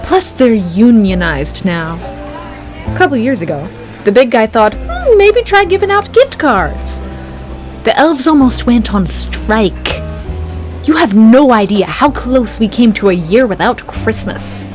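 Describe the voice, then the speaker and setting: A woman's voice: a little high, big pitch swings, a little slow, soft background music, rough recording. A woman playing a jaded, tipsy reindeer in a comedic audio play, world-weary and dryly pedantic as she gripes. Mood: bitter, dry. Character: jaded, tipsy, grumbling female-voiced reindeer drinking at a bar.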